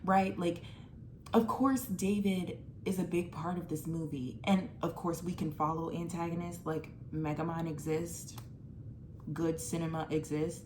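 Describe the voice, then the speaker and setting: A woman's voice: fairly low pitch, level tone, noisy background. A woman in her twenties giving casual, emphatic movie commentary, full of filler words. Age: 20s. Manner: chatty and emphatic, full of "like", swings from fast to drawn out.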